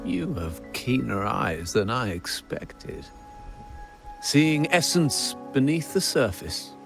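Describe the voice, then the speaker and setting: A man playing a cultured, solemn gentleman in a video game, speaking in a reflective, deliberate, philosophical way. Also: medium pitch, sing-song, creaky, music in the background, full character voice. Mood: pensive, solemn. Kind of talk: scripted video game dialogue.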